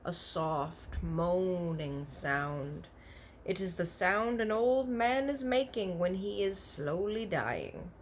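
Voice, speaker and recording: A woman's voice: fairly low pitch, lively intonation, takes it slowly, slight background noise. A woman voicing a kindly, big-eared storybook giant who is earnest, proud and easily offended, talking in folksy broken grammar with invented words. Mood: solemn, earnest. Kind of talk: animated children's storybook character voice.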